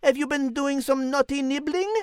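High-voiced, refined French cat, played by a woman. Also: moderate pace, a little mellow, dry recording, clean studio sound, natural voice. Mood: prim. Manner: polite, dainty, precise. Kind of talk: scripted comic podcast skit.

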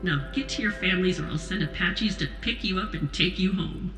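A woman's voice: on the low side, brisk, echoey room, music in the background, close to an everyday voice. A woman playing a gracious, wry national leader in an audio drama, speaking in a polished, composed way. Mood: formal, appreciative. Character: female president, gracious, wry, authoritative leader.

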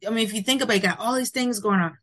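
A woman's voice: on the high side, rapid-fire, a bit bright. A woman hosting a podcast, effusive and gushing with thanks and praise for her guest. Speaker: podcast host. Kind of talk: casual interview talk.

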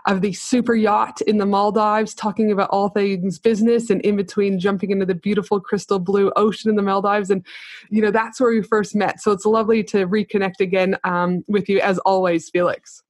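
A woman's voice: mid-range voice, flat delivery, a little fast, a little mellow, dry recording, clean studio sound. Bubbly enthusiastic woman podcast host. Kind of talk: podcast interview and ad read. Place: podcast recording.

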